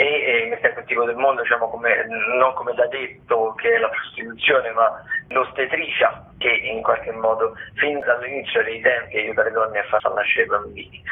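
A man, a theatre critic giving a radio listings rundown in a halting, rephrasing manner. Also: mid-range voice. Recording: thin tinny phone line.

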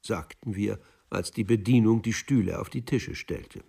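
A man narrating a literary radio play in the first person, with a deliberate, dry storytelling manner. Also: lowish voice, gravelly, warm tone, slightly rough, far from the mic.

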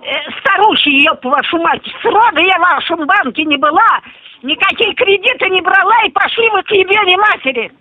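Very high-pitched quarrelsome old woman on phone. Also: lively intonation, normal speed, no room sound. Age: 90s. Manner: crude, quarrelsome, heavy swearing. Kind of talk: profane, indignant phone argument. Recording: tinny narrowband phone line.

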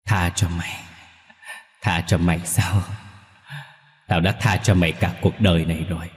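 A man's voice: sits low, steady tone, normal speed, roomy sound, long reverb tail, natural voice. A man voicing a vengeful old father's ghost in a horror story, growling through gritted teeth with bitter, taunting menace. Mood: bitter rage. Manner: cursing, taunting.

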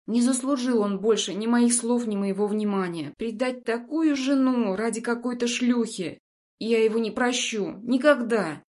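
A woman's voice: on the high side, moderate pace, a little mellow, lightly acted. A woman voicing an older motherly woman, fiercely protective and wounded, who speaks with bitter, resolute emphasis in an audiobook story. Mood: angry.

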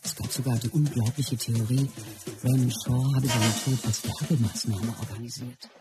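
An actress playing a widow in a radio drama, recounting her husband's death in a measured, sober way. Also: a bass voice, steady tone. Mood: sober, mournful. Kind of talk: scripted radio drama narration. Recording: music playing behind the voice.

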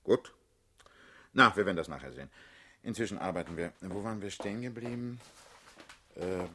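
A man's voice: low voice, normal speed, noisy background, natural voice. An actor in a radio play, playing a middle-aged political leader who is fatherly, self-assured and mocking, with a persuasive, commanding manner. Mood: probing, casual. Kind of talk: German radio play acting.

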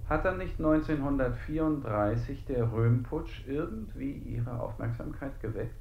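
Probing interviewer in an echoey room. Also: male voice, mid-range voice, lively intonation, unhurried, vocal fry, a little hiss or hum. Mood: insistent. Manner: insistent, questioning. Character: persistent, probing male interviewer of an eyewitness. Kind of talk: re-enacted interview in documentary radio play. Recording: echoey room, dull muffled sound.

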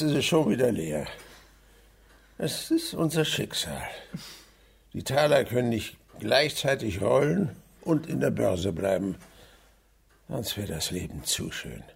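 An actor playing a sly, blustering old provincial miser in a radio drama, muttering and exclaiming theatrically. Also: male voice, mid-range voice, big pitch swings, a little slow, creaky, slightly rough, heavily acted. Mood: jovial, muttering. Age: older.

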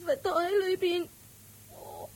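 An actress playing a timid, meek young maid girl in a radio drama, talking in a soft, whimpering, hesitant way. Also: very high-pitched, steady tone, slow pace. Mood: tearful. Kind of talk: Cantonese radio drama acting.

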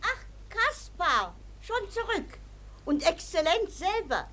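Radio drama role: housewife, lively swooping intonation, solicitous, deferential, motherly and bustling. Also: extremely high pitch, slow pace, exaggerated voice. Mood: flustered, welcoming. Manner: solicitous, eagerly polite, bustling. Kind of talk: classic German radio play acting.

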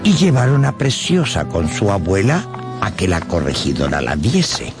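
Animated, creaky-voiced male storyteller over music. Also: deep voice, normal speed. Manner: theatrical, storytelling, emphatic. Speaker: narrator. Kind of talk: dramatized literary narration for radio.

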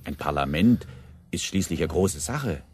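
Deep, slow, swooping cautious delegate. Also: male voice, animated, a little mellow, slight background noise. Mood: insistent, placating. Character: earnest, cautious peasant delegate trusting in negotiation. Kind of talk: German radio play acting.

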